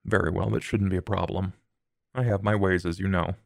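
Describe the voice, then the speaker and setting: A man voicing a composed, formal male vampire who speaks with measured, polite phrasing. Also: low voice, fairly even intonation, gravelly, no room sound, studio quality.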